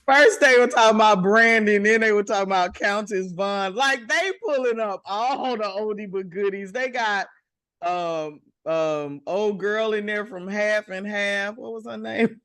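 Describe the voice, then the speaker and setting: A woman with a deep voice co-hosts a casual podcast chat. She is animated and teasing, jumping in with exclamations. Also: unhurried, clear, resonant tone. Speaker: podcast co-host.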